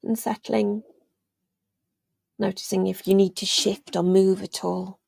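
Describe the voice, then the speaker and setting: A woman leading a guided meditation in a gentle, soothing, unhurried way, leaving long pauses and letting phrases trail off almost to a whisper. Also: mid-range voice, level tone, creaky, husky, no room sound. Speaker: meditation guide, yoga teacher.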